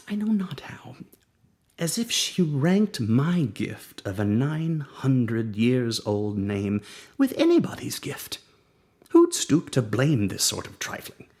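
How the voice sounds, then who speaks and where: A man's voice: medium pitch, creaky, a little mellow, full character voice. A man reading as a proud, cold duke. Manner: measured, dramatic, with sudden rises to near whispers. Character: proud, coldly jealous aristocratic duke. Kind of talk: dramatic monologue reading.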